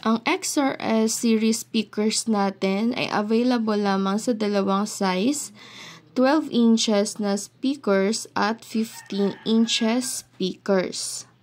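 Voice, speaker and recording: A woman's voice: mid-range voice, slow pace, a little mellow. A woman with a Filipino accent presenting a product update, speaking directly to viewers in an explanatory way. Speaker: product presenter for an audio brand. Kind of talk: product update video presentation, semi-scripted.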